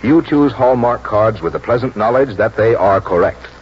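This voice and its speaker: A man announcing a scripted 1940s radio advertisement with polished, persuasive, deliberate diction. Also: on the low side, expressive. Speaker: radio announcer and sponsor pitchman. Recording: muffled, noisy old 1940s radio broadcast.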